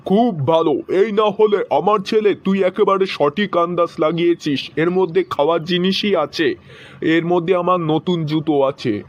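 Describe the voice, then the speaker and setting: A man's voice: high-pitched, a little slow. A man voicing a wisecracking cartoon friend and dad with a teasing, conversational delivery, recorded in dull, noisy audio. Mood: joking. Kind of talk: cartoon joke skit dialogue.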